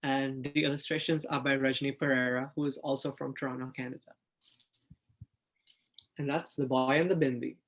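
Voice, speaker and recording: A man's voice: mid-range voice, level tone, normal speed, long pauses, fairly bright tone, low-quality recording. A man who is a drag artist, sharing stories in an enthusiastic, informal way. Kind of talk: picture-book reading and casual sharing.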